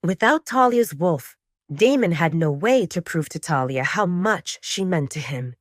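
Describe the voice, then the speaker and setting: Woman, story narrator, lively melodic delivery, clear phrasing, close dry studio-like sound. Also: fairly low pitch, no echo. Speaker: audiobook narrator. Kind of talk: scripted dramatic story narration.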